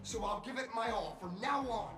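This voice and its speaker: A man's voice: extremely high pitch, normal speed. A man playing an earnest young game superhero who speaks in a heroic, declamatory way. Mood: earnest, sincere. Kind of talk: theatrical game hero acting. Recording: echoing voice under loud music. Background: loud game music.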